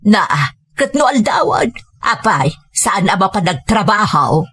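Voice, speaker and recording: A husky-voiced older Ilocano grandmother acted by a woman in a family radio play. She nags and reproaches, worried for her household. Her pitch sits in an ordinary range, with a rough, unclear edge. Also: very animated, unhurried, a bit bright, exaggerated voice. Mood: exasperated concern. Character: scolding, worried grandmother and mother-in-law in a village family. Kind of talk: Ilocano audio drama acting.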